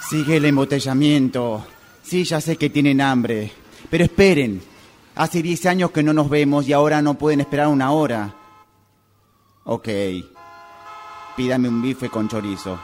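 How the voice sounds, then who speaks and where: A man's voice: mid-range voice, moderate pace, pauses often, a little mellow, natural voice. A man acting a sociable guy phoning his old friends, in a radio drama. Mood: impatient. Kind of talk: radio drama acting, one-sided phone call. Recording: amateur workshop recording, sirens and traffic noise.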